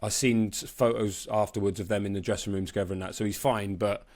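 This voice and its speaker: A man's voice: fairly low pitch, steady tone, fast talker, a little mellow. A man who works as a boxing reporter, chatting casually about sport in an informal, slangy, sweary way. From born in Brisbane, Australia. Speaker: boxing reporter and podcast co-host.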